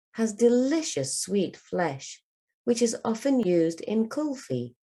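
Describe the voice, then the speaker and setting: A woman with a low, mellow voice reads a children's book as a teacher would. Her delivery is slow and carefully enunciated, made for learners to follow along. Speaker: English teacher.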